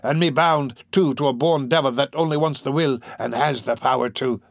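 A man voicing a crafty old criminal in an audiobook, with a croaking, drawn-out, oily delivery. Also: medium pitch, expressive, dry recording, clean studio sound, exaggerated voice. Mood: furious. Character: crafty old male criminal, wheedling, then furious.

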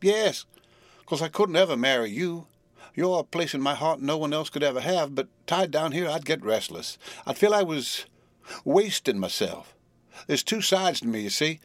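A man voicing an affectionate, restless young woman from the South, with a Southern US drawl and an earnest, halting manner in a storybook reading. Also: on the high side, expressive, no echo, voice pushed up, close to an everyday voice. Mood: wistful.

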